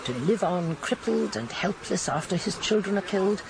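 A genteel old English lady in a radio play, shrewd and gently gossipy. She talks with lively intonation and precise diction, over faint outdoor ambience. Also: mid-range voice, moderate pace, vocal fry, background noise. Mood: sympathetic. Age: older. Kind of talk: British radio drama acting.

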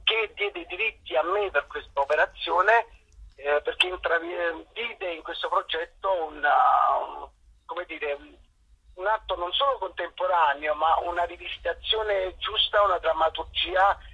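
Guy from naples calling in, high bright husky voice, muffled phone sound, hunts for words a lot. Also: unhurried. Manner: thoughtful, searching for words with fillers. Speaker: actor guest. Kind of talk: phone interview. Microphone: telephone.

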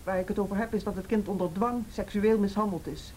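An actress in a radio play playing a stern, unflinching police interrogator, blunt, deliberate and accusing. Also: female voice, mid-range voice, dark tone, lightly acted. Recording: faintly noisy radio drama recording.

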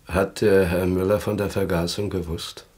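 An actor playing a grave, bitter survivor back in Vienna in a German radio play, speaking in a deliberate, probing way. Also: male voice, sits low, level tone, darkish tone, breathy edge. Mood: brooding, grave. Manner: deliberate, brooding, probing questions.

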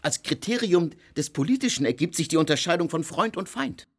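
Guy doing a cold jurist lecturing the gentlemen, big swoopy emphatic delivery, radio play. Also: on the high side, lively intonation, a little fast, husky, heavily acted. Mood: insistent. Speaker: legal theorist lecturing gentlemen.

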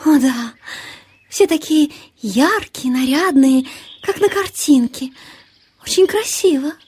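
A woman voicing a weary, modest, gentle butterfly in a children's audio play, with a plaintive, sighing, polite manner. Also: high voice, lively intonation, takes it slowly.

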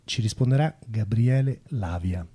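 A man's voice: lowish voice, measured pace, dark tone, breathy edge. A man interviewing on radio in a semi-improvised satirical style, politely mock-formal, with a hesitant, searching delivery.

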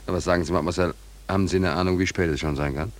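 A man's voice: sits low, fairly even intonation, racing, lightly acted. A man acting in a 1940s German radio play as a stranded young sailor, telling his misfortune in rambling, colloquial, wry phrases. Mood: wistful, confiding. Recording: old 1940s radio recording.

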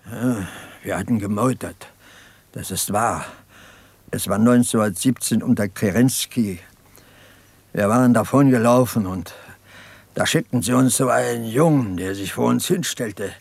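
An actor in a 1950s German radio drama playing a guilt-ridden peasant partisan, brooding and insistent. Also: male voice, mid-range voice, vocal fry, breathy edge, no room sound, full character voice. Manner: brooding, insistent confession, swinging from muttering to agitated outbursts. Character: haunted, guilt-ridden peasant partisan soldier, family man.

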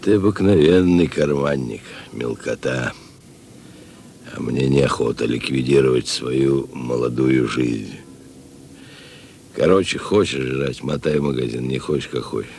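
Hunted criminal, rock-bottom pitch, gravelly fry, cynical, bossy, terse street slang, radio play. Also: male voice, measured pace, a little mellow, a little hiss or hum, heavily acted. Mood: wary, domineering. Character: wanted criminal on the run, cynical, wary, bossy. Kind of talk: Russian radio play acting.

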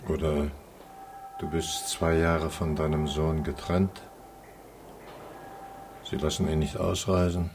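A man's voice: very deep voice, level tone, darkish tone, a little hiss or hum, full character voice. An actor playing a melancholy man given to philosophising, speaking in a musing way in a radio drama. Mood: sombre. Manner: slow, musing. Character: melancholy, philosophising man who believes in feeling.

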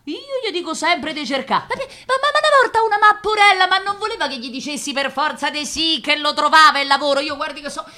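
An actress playing a gossipy, self-assured working-class fortune-teller and concierge in a radio play, with a Roman accent and a colloquial, insistent, rambling delivery. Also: female voice, sits high, animated, a little fast, hardly any pauses, bright, forceful tone. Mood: animated, emphatic. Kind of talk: theatrical monologue in dialect.

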